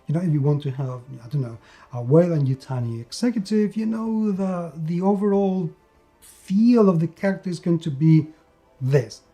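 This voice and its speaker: A man giving a conversational tabletop game review, emphatic, with repetition and dramatic pauses. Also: fairly high pitch, dark tone. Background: background music.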